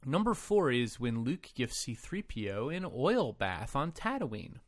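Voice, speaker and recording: A man's voice: mid-range voice, very animated, a little slow, dry recording, studio quality. A man chatting casually about films as a podcast co-host, playful in a mock-serious way. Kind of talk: casual film discussion.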